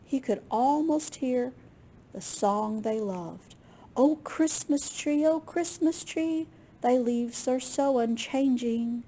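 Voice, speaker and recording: A woman with a slightly husky, gravelly voice reading a picture book aloud to young children in an expressive way. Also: sits high, slow. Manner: expressive, with gasps and questions to young listeners. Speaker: children's librarian storyteller.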